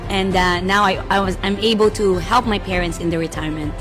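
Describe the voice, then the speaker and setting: A woman's voice: mid-range voice, steady tone, brisk, fairly bright tone. A woman sincerely telling her testimonial about quitting her finance job. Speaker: online business owner giving a testimonial. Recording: background music, from off the mic.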